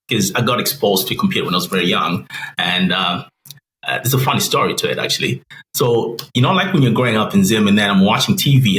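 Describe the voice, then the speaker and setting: A man in his forties, a guest telling his career story in an animated way and acting out quoted voices. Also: on the low side. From Zimbabwe. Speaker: guest business analyst. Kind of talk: interview storytelling. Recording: roomy with faint noise.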